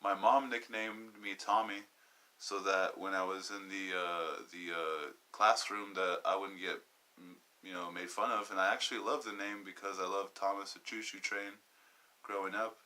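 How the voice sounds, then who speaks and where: A man in his twenties from Southern California, hosting a personal podcast monologue, halting and wandering as he searches for words. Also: sits low, level tone, bright, forceful tone. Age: 20s. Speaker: podcast host.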